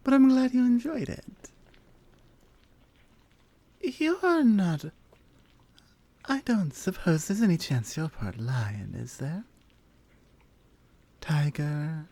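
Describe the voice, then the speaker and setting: A woman voicing a flirty, confident lioness in an intimate, teasing ASMR roleplay, softly coaxing and often slipping toward a whisper. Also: deep voice, heavily acted. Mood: playful, teasing. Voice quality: soft, breathy. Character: flirty, confident, forward adult lioness armorsmith.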